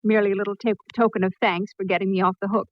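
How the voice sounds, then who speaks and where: A woman's voice: medium pitch, little pitch movement, rapid-fire, natural voice. An actress playing an effusive, polite department store advertising woman in a 1940s radio drama. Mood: gracious, grateful. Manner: effusive, genteel. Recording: dull, dry vintage radio recording.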